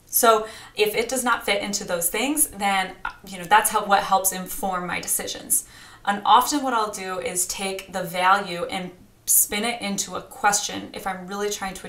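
A woman in her thirties coaching on grant writing in a casual, energetic talk, gesturing as she speaks from a small home studio. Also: medium pitch, roomy sound. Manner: energetic and chatty, talks with her hands. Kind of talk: direct-to-camera teaching vlog.

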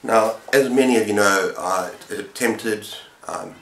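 A middle-aged man talks casually to a camera in the manner of a book vlogger. His voice sits fairly low, and he moves in short, halting phrases. The room adds a clear echo around his words. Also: slight background noise. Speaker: book vlogger, channel host. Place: book-lined room at home, indoors. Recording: roomy, echoing indoor sound.

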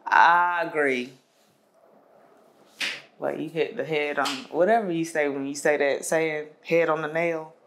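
A woman in her twenties talks openly as a guest, filling her sentences with small hesitations and frequent laughter. She sits in a bright studio and is picked up by a lapel mic. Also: low voice, steady tone, a little slow, long pauses, slight background noise. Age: 20s. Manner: candid, laughs often, many fillers. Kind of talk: casual conversation.

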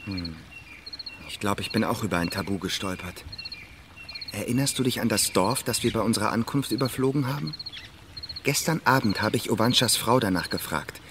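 A man's voice: fairly low pitch, moderate pace, background noise, natural voice. An actor playing a plain-spoken, curious male geologist in a radio drama, conversational and matter-of-fact. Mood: puzzled, confiding.

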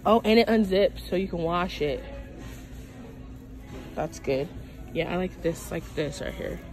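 A woman's voice: on the low side, expressive, a little slow, darkish tone, low-quality recording. Chatty woman vlogging in a pet store. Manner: chatty, thinking aloud, many "like"s. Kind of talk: casual shopping vlog chat. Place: pet store aisle, indoors. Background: store music playing.

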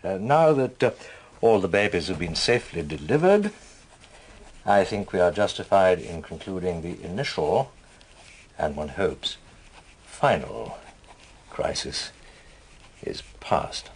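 An actor playing a stiff senior government official in a radio drama, dictating formally with hesitant, measured phrasing. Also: male voice, low-pitched, lively intonation, slight background noise.

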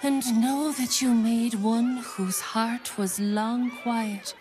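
A woman voicing a solemn, tender, mystical nature priestess in a video game, speaking in a ceremonial, poetic, often breathy manner. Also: on the high side, steady tone, slow pace, noisy background. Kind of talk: video game character acting.

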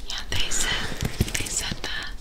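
A young woman whispering breathily in an ASMR roleplay, hesitant and casually reassuring, close on a binaural microphone. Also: unhurried. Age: young adult. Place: small dim room, indoors. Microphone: close binaural ear microphone.

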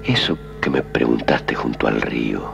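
An actor playing a grave, courteous Devil with an Argentine accent and slow, solemn 1970s film delivery. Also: male voice, rumbling low, lively intonation, gravelly, heavily acted. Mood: wistful, curious. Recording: muffled old film soundtrack under music.

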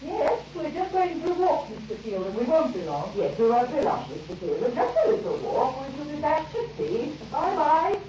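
A high, chirpy landlady. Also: sing-song, normal speed, few pauses. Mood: cheery. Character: chirpy, kindly middle-aged landlady. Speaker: actor in a radio drama. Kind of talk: old radio drama acting. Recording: distant, echoey, muffled, noisy old radio recording.

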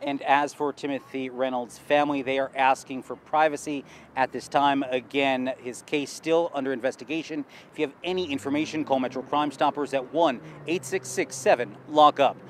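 A man in his thirties giving a TV news field report with a handheld mic, outdoors on a city street at night, in a steady, clipped newscast delivery. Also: mid-range voice, normal speed, slight background noise.

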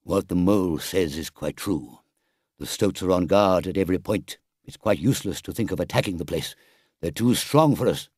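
A man voicing a stern, wise older badger in a storybook, speaking gravely and deliberately with authority. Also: deep voice, darkish tone, no echo, studio quality.

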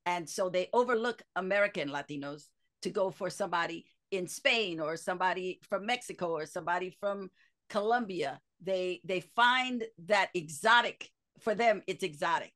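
A woman's voice: fairly low pitch, moderate pace, a bit bright. A woman hosting an interview over a headset from her home office, eager and enthusiastic. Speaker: podcast host.

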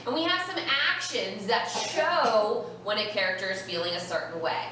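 A young woman teaching an interactive read-aloud to young children, expressive and instructive, stressing key words slowly. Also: medium pitch. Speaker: primary school teacher. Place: elementary classroom, indoors. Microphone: far camera. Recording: roomy, noisy classroom, distant camera microphone.

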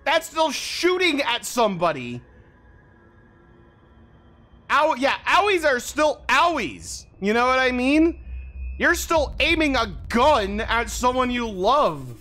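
A streamer giving casual, expressive livestream commentary while playing a game. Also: high-pitched, slow. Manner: animated, expressive. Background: game music.

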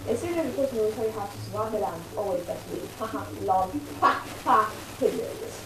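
A woman's voice: medium pitch, brisk. A young woman giving casual, rambling commentary as she plays a video game at home. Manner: rambling, filler-heavy chatter. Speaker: let's play host. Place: home room, filmed from a TV screen. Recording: echoey room with game music.

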